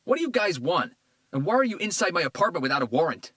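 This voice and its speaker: Volatile ex-con, raging and profane, shrill high yelling. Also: male voice, very animated, fast talker, no echo, clean studio sound. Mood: furious. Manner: shrieking, profane. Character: volatile adult male ex-con, furious and crude.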